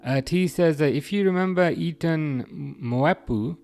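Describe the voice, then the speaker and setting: A man's voice: on the high side, a little slow. Rambling young man, casual chat. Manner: relaxed, rambling, frequent restarts and fillers. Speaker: livestream host reading chat. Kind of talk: casual football chat livestream.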